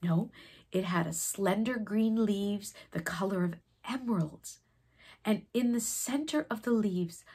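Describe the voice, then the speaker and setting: A woman narrates a children's storybook in an expressive, dramatic way. Her medium-pitched voice is breathy and soft-edged, and she uses pauses and hushed phrasing. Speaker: storybook reader.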